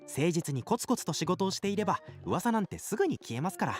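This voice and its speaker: A quick, polite young gossip. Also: male voice, high voice, sing-song, soft background music. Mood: chatty. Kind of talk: visual novel voice acting.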